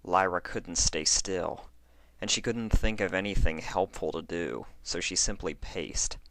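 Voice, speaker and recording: A man narrating a scripted horror story in a theatrical, expressive way. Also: low-pitched, measured pace, dry recording. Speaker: fan fiction narrator.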